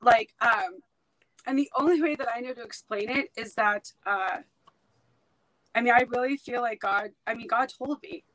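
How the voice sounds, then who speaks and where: A woman's voice: on the high side, moderate pace, fairly bright tone, no room sound, rough recording. A woman whose first language is Hebrew, talking bluntly and emphatically as a guest in a casual podcast conversation.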